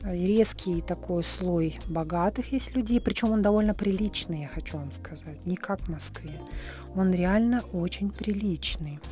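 A middle-aged woman, a little hoarse, giving a chatty, frank hotel and city tour on her phone, often dropping into whispery asides. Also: lowish voice, moderate pace, warm tone, soft background music. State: slightly hoarse. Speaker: vlogger, psychologist. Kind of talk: casual travel vlog, room tour. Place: hotel and city streets in and out of doors.

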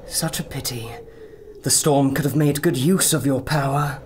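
An actor playing an earnest, learned doctor who makes peace, speaking dramatically in an audio adventure. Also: male voice, medium pitch, steady tone, normal speed, heavy background noise, close to an everyday voice. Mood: grave. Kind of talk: radio adventure drama acting.

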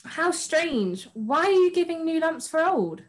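A young woman playing a haughty, naive pantomime princess in an expressive, slightly stagey way. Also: very high voice, unhurried, heavily acted. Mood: haughty, puzzled. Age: young adult. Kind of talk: script read-through on video call. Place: room at home, video call.

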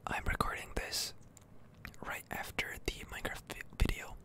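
ASMR gaming host, fully whispered, bright and slow, hesitant rambling delivery. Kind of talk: whispered ASMR game commentary.

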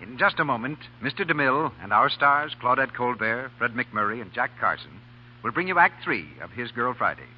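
A man's voice: medium pitch, very animated, fairly quick, slightly rough. A man announcing on 1940s radio in a formal, courteous, scripted style. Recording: muffled, noisy 1940 radio broadcast.

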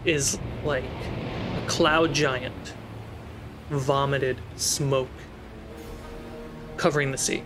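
A man narrating a tabletop fantasy game as game master in deliberate, halting phrases, with music behind him. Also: medium pitch, fairly even intonation.

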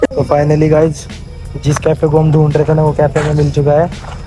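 A man's voice: a little high, completely flat delivery, normal speed, music in the background. A North Indian man chatting casually as he hosts a travel vlog. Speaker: vlogger hosting an outing with friends.

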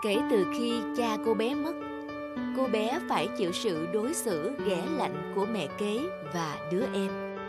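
A woman's voice: medium pitch, expressive, measured pace. A woman reading scripted fairy tale narration as a storyteller for a children's cartoon. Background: music.

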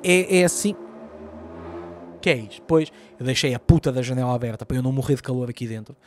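A man in his thirties from Lisbon with a Lisbon Portuguese accent, hosting a solo podcast as a comedian, ranting profanely with big gestures into a close boom mic in a small room at home. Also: animated, quick pace, a little hiss or hum. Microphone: boom mic close to mouth, wearing headphones.

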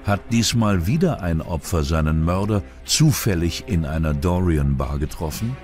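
A man's voice: sits low, expressive, moderate pace, darkish tone, faint music behind. A man reads scripted true-crime documentary narration.

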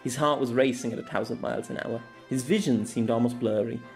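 A man's voice: mid-range voice, quick pace, faint music behind. A man giving a scripted narration of a fantasy story.

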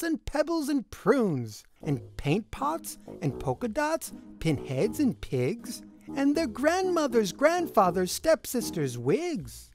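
A woman reading a rhyming picture book aloud to children in an expressive, sing-song storytelling manner. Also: on the high side.

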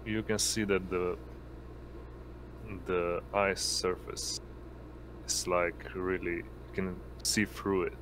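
Deep voiced guy running an rpg online, slow and halting, bit of noise behind him. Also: little pitch movement, fairly bright tone. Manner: halting, searching for words. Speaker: game master. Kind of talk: improvised tabletop game narration.

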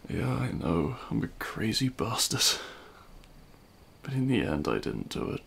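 A man playing a remorseful, self-loathing supervillain in an intimate audio roleplay, speaking in a halting, anguished way. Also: on the low side, sing-song, vocal fry, fairly bright tone, slight background noise, exaggerated voice. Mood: tearful self-loathing, then pained remorse. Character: remorseful male supervillain confessing love at a grave.

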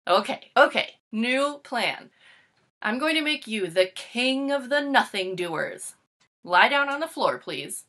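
A woman playing an excitable, bossy young boy full of schemes, reading a picture book with emphatic, dramatic delivery. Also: a little high, slow pace, exaggerated voice.

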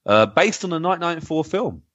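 A middle-aged man hosting a casual podcast, chatty and excitable. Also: a little high, fast talker. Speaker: podcast host. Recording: slightly dull, lo-fi audio.

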